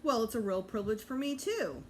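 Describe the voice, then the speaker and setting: A woman's voice: a little high, expressive, brisk, darkish tone, slight background noise, natural voice. A woman voicing a friendly, encouraging gray-bearded male author who plays showman in a children's storybook.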